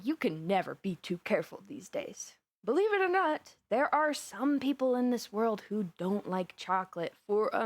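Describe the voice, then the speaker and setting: A woman's voice: medium pitch, gravelly, no room sound, exaggerated voice. Dry, close, dramatic lovestruck supervillainess voiced by a woman. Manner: chatty, dramatic, playfully indignant, with sighs and gasps. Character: flustered, lovestruck supervillainess confiding in her best friend. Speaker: voice actor. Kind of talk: roleplay audio drama, intimate one-sided conversation.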